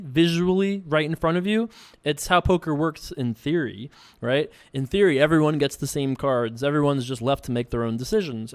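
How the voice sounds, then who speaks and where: A man with an American accent in casual, rambling chat, hosting a poker show and answering viewer questions in a conversational way. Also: fairly high pitch. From Long Island, New York, United States. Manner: rambling, conversational, frequent 'you know'.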